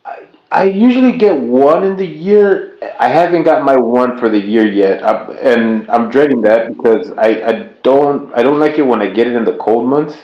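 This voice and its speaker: A man's voice: mid-range voice, lively intonation, normal speed. A man hosting a live stream in casual chat, rambling and restarting his sentences often. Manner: rambling, stammers and restarts, easy laugh. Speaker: streamer host. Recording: roomy, dull stream audio.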